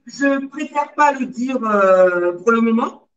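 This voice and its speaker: Measured woman with many fillers, muffled video call. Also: a little high, lively intonation. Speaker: guest entrepreneur. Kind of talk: casual interview answers. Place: room at home, indoors. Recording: dull lo-fi video-call audio.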